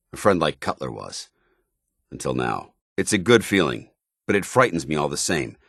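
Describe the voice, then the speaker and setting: An actor playing a stern, loyal, idealistic soldier companion in a video game, with a clipped, earnest, authoritative military delivery. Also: male voice, rumbling low, fairly bright tone, no room sound, clean studio sound, heavily acted. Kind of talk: video game character dialogue.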